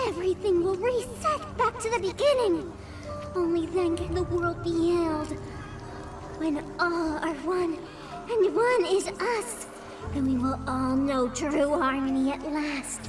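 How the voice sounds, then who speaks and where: Rot-possessed pegasus mare a woman acting, rasping throat, fanatical menace, music underneath; audio drama villain. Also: very high voice. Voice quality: raspy, devastated throat.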